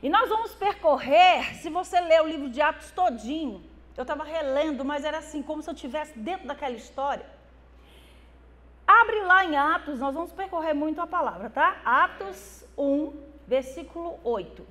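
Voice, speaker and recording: A middle-aged woman from Brazil with a Minas Gerais accent, preaching a church sermon and building to loud, fervent exhortation with drawn-out emphatic words. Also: sits high, lively intonation. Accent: Brazilian Portuguese from Minas Gerais. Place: church hall.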